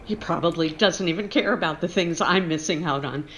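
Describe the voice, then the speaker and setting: A woman's voice: lowish voice, a little fast, a bit bright, slight background noise, close to an everyday voice. A woman playing a homeless old bag lady in a radio horror drama, muttering plaintively to herself with theatrical indignation. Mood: weary muttering. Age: older. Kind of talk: audio horror drama acting.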